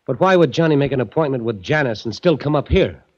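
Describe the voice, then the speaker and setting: A man's voice: mid-range voice, expressive, fast talker, natural voice. A man playing an anxious, puzzled businessman. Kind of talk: 1940s radio acting. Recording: dull old radio recording.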